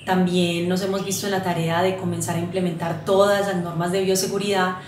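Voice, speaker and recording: A woman presenting her travel agency in a measured way. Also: on the low side, little pitch movement, darkish tone, echoey room, a little hiss or hum. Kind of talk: promotional presentation. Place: indoor room.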